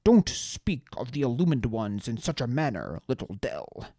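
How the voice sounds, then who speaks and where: A narrator voicing a huge, calm brute of a man who speaks in a slow, grave, measured way. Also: medium pitch, no echo, clean studio sound. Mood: solemn. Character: huge, solemn male brute with a deep basso, loyal and calm.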